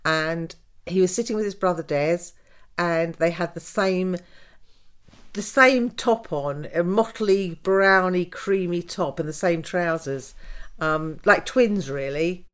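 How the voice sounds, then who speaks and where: An older woman, telling the story of her life in a casual interview, with soft laughs and fillers. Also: lowish voice, slow pace, clean tone. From Canada. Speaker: guest, host's mother.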